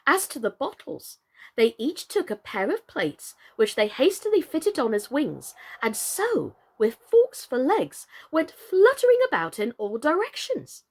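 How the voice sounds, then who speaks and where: High, lively woman storyteller. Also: a little slow, breathy edge. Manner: theatrical, expressive. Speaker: storyteller, actress. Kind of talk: animated children's story narration.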